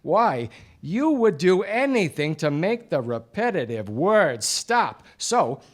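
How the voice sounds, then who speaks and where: An actor playing a self-assured, accusing 1940s gumshoe detective in a live radio play, with a declamatory delivery. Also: male voice, high-pitched, very animated, slow, full character voice. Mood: dramatic accusation. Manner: theatrical, declamatory. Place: theatre stage before a live audience.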